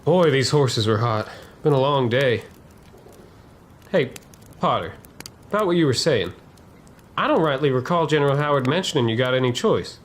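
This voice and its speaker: A man playing a seasoned, unsympathetic trooper who follows orders, in a western dramatization. He speaks at a middling pitch with folksy, dismissive phrasing. Faint noise sits behind the voice. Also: animated, normal speed, long pauses, lightly acted. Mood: wry. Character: obedient, unsympathetic veteran cavalry private. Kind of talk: historical dramatization dialogue.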